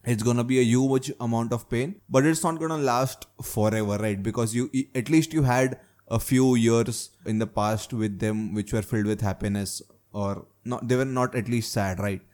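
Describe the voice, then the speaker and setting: A man's voice: mid-range voice, normal speed, darkish tone. A young man hosting a casual discussion, posing questions and checking in with his listener as he talks. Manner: probing, keeps adding "right?" checks. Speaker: host asking questions.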